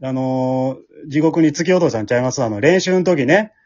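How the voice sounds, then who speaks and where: A man's voice: mid-range voice, lively intonation, brisk, darkish tone, no echo. A man with a Kansai accent chatting casually as a co-host after a show. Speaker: podcast co-host of an amateur drama group. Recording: dull lo-fi recording.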